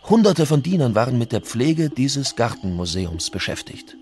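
A man's voice: medium pitch, very animated, moderate pace, loud music behind the voice. A man reading the part of a Spanish conquistador giving his account of what he witnessed. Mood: reporting, composed. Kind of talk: dramatic reading of historical accounts.

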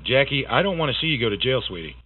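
A man's voice: mid-range voice, very animated, racing, breathy edge. A man acting a bullying store security manager over a tinny phone line. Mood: accusing. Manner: accusing, intimidating. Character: stern, bullying male store loss prevention manager. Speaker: prank caller. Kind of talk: prank phone call.

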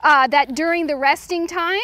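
A woman's voice: high voice, moderate pace, bright, forceful tone. A middle-aged woman giving an informal cooking demonstration, instructing as she works. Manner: informal, instructive, talking while working. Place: outdoors on a lawn beside a food truck.

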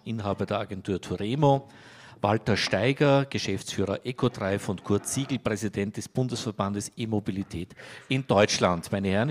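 A middle-aged man moderating a panel in a conference hall, speaking politely and formally into a handheld mic. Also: fairly low pitch.

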